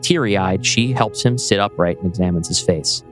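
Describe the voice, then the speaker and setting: A man's voice: sits low, normal speed. A man reading scene directions from a script as a podcast host. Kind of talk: scripted narration of a screenplay. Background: music.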